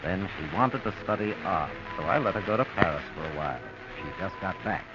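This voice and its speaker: Low-voiced, suave older schemer, old radio. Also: male voice, animated, brisk, music in the background. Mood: smoothly possessive. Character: suave, calculating older male con man, posing as guardian. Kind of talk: 1940s radio acting. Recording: muffled, noisy old radio recording.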